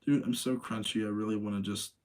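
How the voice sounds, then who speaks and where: A man hosting a livestream, talking casually in an informal, rambling, slangy way with a husky voice. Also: fairly low pitch, steady tone, a little fast, darkish tone, a little hiss or hum. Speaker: livestream host. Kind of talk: casual livestream chat and commentary.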